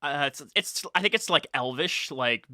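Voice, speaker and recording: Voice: mid-range voice, expressive, normal speed, bright, forceful tone, dry recording, studio quality. A podcast co-host chatting casually and reading bits aloud, joking and mock-dramatic.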